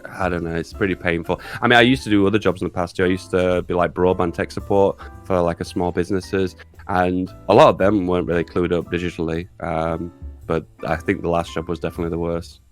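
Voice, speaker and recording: A man's voice: deep voice, level tone, fast, faint music behind. A man in his thirties chatting casually on a podcast, self-deprecating and chuckling often. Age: 30s. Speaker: podcast co-host.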